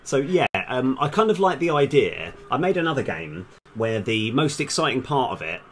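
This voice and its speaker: A man's voice: mid-range voice, very animated, moderate pace, slight background noise. A man thinking aloud in a casual, hesitant, self-deprecating way while live streaming as a game developer.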